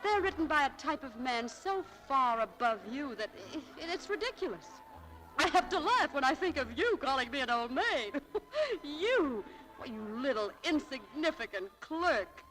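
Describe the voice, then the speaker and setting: A woman playing a haughty, sharp-tongued young shop clerk in a 1940s radio drama, with tart, exasperated, theatrical delivery. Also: sits high, big pitch swings, normal speed, rough recording. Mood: mocking, indignant. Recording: vintage 1940s radio broadcast.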